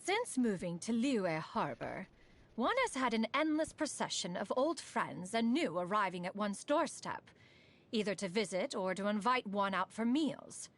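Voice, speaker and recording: A woman's voice: mid-range voice, big pitch swings, a little slow. Formal immortal crane lady. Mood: formal, bemused. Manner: formal, refers to herself as "one". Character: serene ageless immortal crane woman. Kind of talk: game cutscene voice acting.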